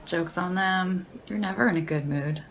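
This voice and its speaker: A sardonic young woman with a low voice that crackles with fry. She talks in dry, slangy banter, teasing and unimpressed, as in an audio drama. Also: moderate pace, fairly bright tone. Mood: deadpan needling. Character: deadpan, sardonic young woman who loves horror paperbacks.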